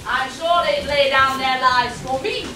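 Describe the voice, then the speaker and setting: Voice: high voice, a little slow. A performer playing a vain, theatrical male pirate captain in a stage pantomime, pompous and declamatory. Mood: haughty. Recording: echoey theatre hall, far from mic, noisy.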